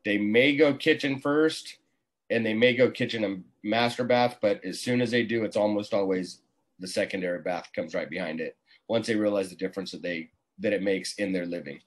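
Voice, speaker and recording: A man in his forties speaks in a fairly low voice. He talks in an easygoing, conversational way, like a tradesman explaining his work in a casual interview over a video call. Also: moderate pace. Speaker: remodeling contractor, guest. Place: small indoor room, video call. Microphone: computer mic on a video call.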